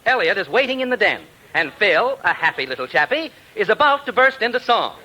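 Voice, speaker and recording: A man's voice: high voice, very animated, brisk, rough recording. A man with an American accent announcing a 1950s radio comedy in a showy, tongue-in-cheek manner. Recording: old radio broadcast recording. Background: loud music.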